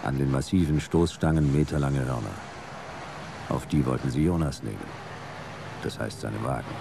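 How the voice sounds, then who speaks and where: An actor playing a laconic private detective who narrates a noir radio play in clipped, deadpan fragments, wry and weary. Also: male voice, a bass voice, moderate pace, gravelly, background noise. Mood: tense, wry. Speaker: narrator and lead character. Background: music and vehicle sound effects.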